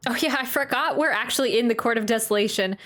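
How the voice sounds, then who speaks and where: A woman with a fairly high voice doing a live game reaction. She talks informally, rambling and stopping to search for words as she chats. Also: quick pace, fairly bright tone. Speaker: streamer reacting to a video game. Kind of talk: casual live reaction chat.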